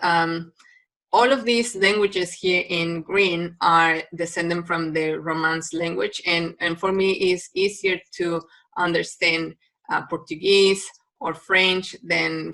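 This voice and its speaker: A woman's voice: lowish voice, gravelly, sharp tone, rough recording. A woman from Mexico with a Mexican Spanish accent and Spanish as her first language, giving a recorded biology lecture with frequent ums and restarts.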